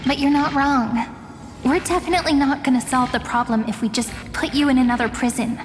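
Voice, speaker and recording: An actress playing a playful, teasing young sprite companion in a video game. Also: female voice, high-pitched, fairly quick, long reverb tail, soft background music, close to an everyday voice. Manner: teasing, light-hearted.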